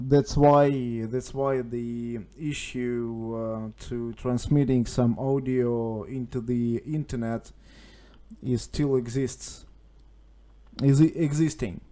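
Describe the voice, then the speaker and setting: A man with a Russian accent chatting casually as an amateur radio operator, hesitant and halting as he searches for words. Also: mid-range voice, mellow. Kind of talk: casual radio contact chat. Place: at home, indoors.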